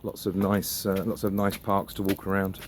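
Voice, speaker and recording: A man with a fairly low voice chats off the cuff while out walking. He muses and gives his opinions freely, with the odd mild swear word. Faint outdoor noise sits behind him. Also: completely flat delivery, moderate pace. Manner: musing, opinionated, mildly sweary. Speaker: vlogger. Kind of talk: casual rambling walk-and-talk monologue. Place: outdoors, walking suburban riverside streets. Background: outdoor ambience.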